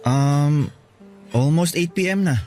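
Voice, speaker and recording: A man's voice: fairly high pitch, takes it slowly, a little mellow, faint music behind. A man with a Filipino accent playing a defensive, dismissive young boyfriend in a radio drama. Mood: apologetic.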